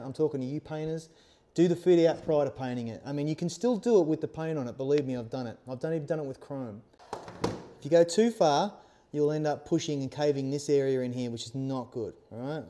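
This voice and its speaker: Mellow man giving a hands-on tutorial. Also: fairly high pitch. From Australia. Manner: informal, reassuring, often checks with "okay?" and "all right?". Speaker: instructor, helmet accessory maker. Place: small workshop studio indoors, seated at workbench.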